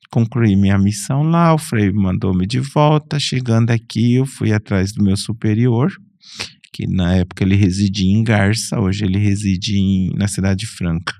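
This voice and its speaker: A middle-aged man from Brazil, a Franciscan friar and priest, recounting his life in an unhurried, relaxed way, often restarting and correcting himself. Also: medium pitch, no room sound, clean studio sound. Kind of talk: relaxed personal storytelling.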